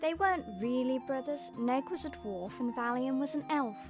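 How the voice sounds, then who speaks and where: A woman's voice: high-pitched, normal speed, over music. A woman narrating a scripted fantasy tale in a storybook style.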